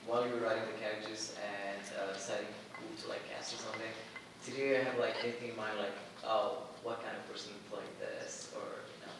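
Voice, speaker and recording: Low-voiced man, distant in an echoey room. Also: little pitch movement, brisk, noisy background. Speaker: participant asking a question. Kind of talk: casual question.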